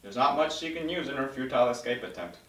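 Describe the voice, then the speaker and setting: A man's voice: medium pitch, animated, fast talker. Man narrating in an echoey room. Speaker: narrator reading stage directions. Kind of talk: scripted narration at a table read. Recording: roomy, distant mic.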